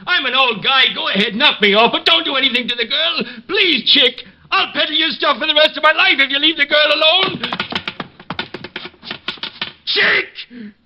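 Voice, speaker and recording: A man's voice: extremely high pitch, normal speed, sharp tone, no echo, exaggerated voice. An actor playing an older man, a frightened, protective ex-convict with streetwise slang, in an old radio crime drama. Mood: terrified, pleading. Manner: pleading, streetwise slangy speech. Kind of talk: 1940s-50s radio drama acting. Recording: dull vintage radio recording.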